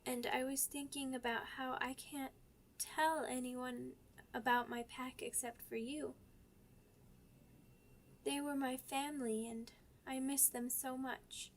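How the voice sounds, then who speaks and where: A woman voicing a sweet, timid young werewolf pup in an ASMR roleplay, childlike and halting, stammering over simple words. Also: sits high, little pitch movement, a little hiss or hum. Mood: grieving, subdued. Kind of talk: ASMR roleplay audio drama.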